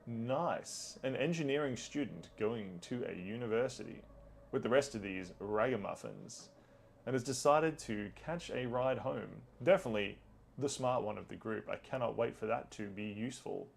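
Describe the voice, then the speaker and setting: A man's voice: moderate pace, a little hiss or hum. A man hosting a tabletop game as game master, chatting casually with a playfully theatrical manner. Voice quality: deep, gravelly.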